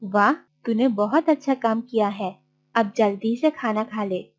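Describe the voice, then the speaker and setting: A woman's voice: on the high side, measured pace, lightly acted. A woman voicing a stingy, bossy village aunt in a children's cartoon, haughty and scolding. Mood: bossy, coaxing. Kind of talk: children's cartoon story voice acting.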